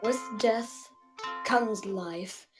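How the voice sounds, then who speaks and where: A woman speaking casually and haltingly, as if presenting show-and-tell, searching for words. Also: mid-range voice. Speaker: puppeteer guest on the show. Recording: music behind the voice.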